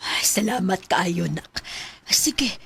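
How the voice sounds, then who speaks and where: A woman playing a gentle, caring mother with a Cebuano accent in a Philippine radio drama. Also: fairly low pitch, expressive, slow, creaky, heavily acted. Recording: loud background noise with clicks.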